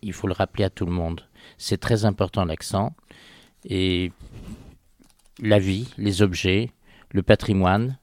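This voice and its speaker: Older male radio guest, faint Parisian accent, low-pitched, soft and measured. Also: fairly even intonation, dry recording, studio quality. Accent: slight Parisian French. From Paris, France. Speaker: radio guest, heritage association president. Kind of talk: casual radio interview. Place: radio studio.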